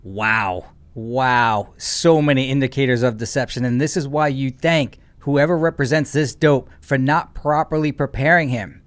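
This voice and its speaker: A man's voice: medium pitch, moderate pace, few pauses. A man giving opinionated reaction commentary on a trial as a lawyer, in a blunt and mocking manner.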